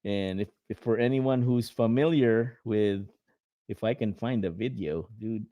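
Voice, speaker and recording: A man's voice: on the low side, unhurried, no room sound, dull audio. A man with a Filipino accent, co-hosting a casual chat show, rambling with many fillers and the odd laugh. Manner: rambling, filler-heavy, laughs while talking. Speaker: podcast co-host.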